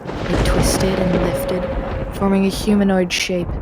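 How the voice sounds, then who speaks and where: A woman speaking gently and quietly in a scripted roleplay, as a storyteller reading aloud. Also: mid-range voice, little pitch movement, slow pace, over loud music.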